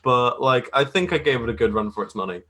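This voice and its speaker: A man's voice: medium pitch, lively intonation, fast, bright, forceful tone, slight background noise. A man chatting casually as an interview guest, modest and hesitant, circling back over his phrases. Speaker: guest graphic artist.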